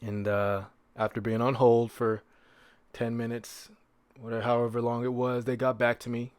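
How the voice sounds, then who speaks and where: A man speaks as a solo podcast host in a medium-pitched voice. His delivery is casual and conversational, with repeated phrases and rhetorical questions for emphasis. The sound is dry, with very little room around the voice. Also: little pitch movement, moderate pace, no room sound.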